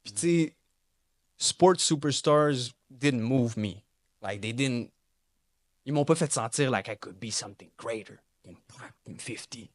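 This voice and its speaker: A man's voice: medium pitch, measured pace, dry recording. A young man, a rapper guest talking casually and mixing with English slang. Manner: relaxed, mixes French and English slang. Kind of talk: casual interview chat.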